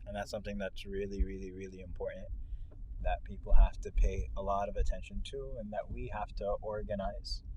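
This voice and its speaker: A man's voice: low-pitched, little pitch movement, fairly quick. A man in a casual, reflective religious discussion, explaining his points and building them by repeating phrases. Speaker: co-host and speaker on a ride-along talk show. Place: inside a moving car. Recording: in-car recording with clear vehicle noise.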